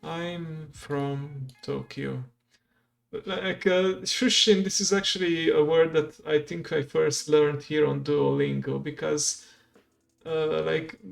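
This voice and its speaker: Streamer who talks a lesson through slowly; slightly higher voice with a gravelly edge. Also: male voice. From Romania. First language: Hungarian. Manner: slow, thinks aloud, explains step by step. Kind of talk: live-streamed language lesson playthrough.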